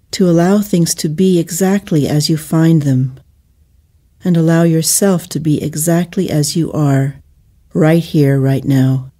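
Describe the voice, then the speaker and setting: A woman leading a guided meditation in a soothing, measured manner with gentle pauses between phrases. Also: deep voice.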